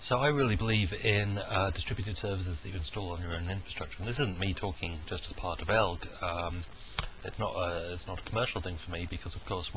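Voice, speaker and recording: Low-voiced man with a cold. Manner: rambling, thinking aloud with frequent ums and uhs. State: congested with a heavy cold. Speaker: video diarist, software technical lead. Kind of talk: unscripted casual video diary. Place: dining room at home, indoors. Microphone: cheap webcam microphone. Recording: dull lo-fi webcam sound with steady background noise.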